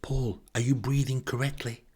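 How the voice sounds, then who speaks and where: A man's voice: medium pitch, fairly even intonation, normal speed, darkish tone, breathy edge, pitched above the performer's own voice, close to an everyday voice. A man voicing a very serious retired female nurse who fusses earnestly, in a comic monologue. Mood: concerned. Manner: earnest, probing.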